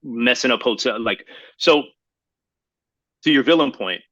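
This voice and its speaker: A man co-hosting a podcast in casual, slangy, opinionated chat, talking in a halting, rambling way and circling back to qualify his points. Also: mid-range voice, a bit bright, no echo, highs cut off. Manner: halting, rambling, hedging delivery; slangy, addresses listener as bro. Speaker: podcast co-host.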